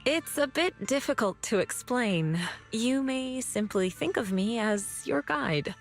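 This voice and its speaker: A calm, wise sword-master guide. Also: female voice, fairly high pitch. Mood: explaining. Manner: measured, patient explaining. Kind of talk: video game voice acting. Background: faint game music.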